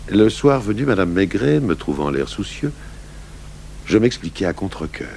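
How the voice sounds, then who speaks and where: An actor playing a gruff, weary French police commissioner narrating his own case in a 1980s radio drama. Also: sits low, big pitch swings, normal speed, darkish tone, exaggerated voice. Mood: measured, wry. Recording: noisy old radio broadcast recording.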